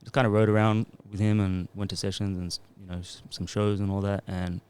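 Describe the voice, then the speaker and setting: A man's voice: lowish voice, flat delivery, fairly quick, a little mellow, smooth. A man in his thirties, a music producer and DJ, talking in a laid-back, rambling way into a podcast mic. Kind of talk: casual podcast interview.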